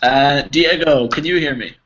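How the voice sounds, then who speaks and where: A man's voice: a little high, moderate pace, a bit bright. An American man teaching an online English class in an encouraging, informal way. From United States. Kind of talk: casual group class instruction. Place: online video call. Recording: dull, noisy video call audio.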